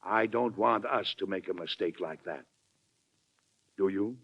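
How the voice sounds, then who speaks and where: An actor playing a firm, weary, principled American president in 1940s radio drama style, formal and deliberately persuasive. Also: male voice, low-pitched. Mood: grave, persuasive. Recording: muffled 1940s radio recording.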